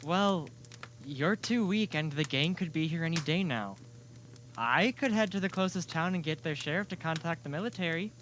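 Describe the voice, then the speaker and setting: A man's voice: fairly high pitch, normal speed, a bit bright, slight background noise, lightly acted. A man playing a determined, headstrong young man in an amateur audio drama, earnest and insistent.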